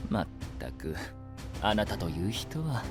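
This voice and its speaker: A man playing a courteous, composed young knight who hosts a council in an anime audio drama, speaking gently and formally. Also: fairly low pitch, big pitch swings, a little slow, music in the background. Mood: exasperated.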